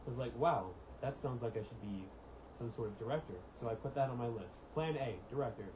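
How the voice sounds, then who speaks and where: A teenage boy chats easily on a homemade podcast and fills his pauses with "um" and "you know." His voice sits in the middle range. A dull lo-fi mic adds a steady hiss. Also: mid-range voice. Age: teens. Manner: relaxed and chatty, with many fillers. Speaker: podcast co-host. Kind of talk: casual chat.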